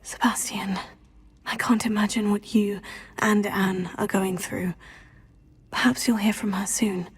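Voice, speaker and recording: A young witch student, soft and sympathetic, her lines half-whispered. The voice is breathy and gentle. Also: medium pitch, flat delivery, a little slow. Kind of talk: video game cutscene acting.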